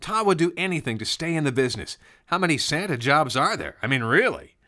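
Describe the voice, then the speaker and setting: A man narrating a scripted children's story in an expressive, conversational way. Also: a little high, a little fast. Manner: conversational, expressive, rhetorical questions.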